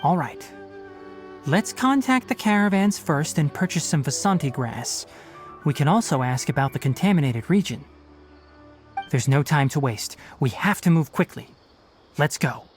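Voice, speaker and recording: An actor playing a young forest ranger in a game, blunt and lecturing, no-nonsense. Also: male voice, a little high, very animated, moderate pace, faint music behind. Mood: stern. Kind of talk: video game voice acting.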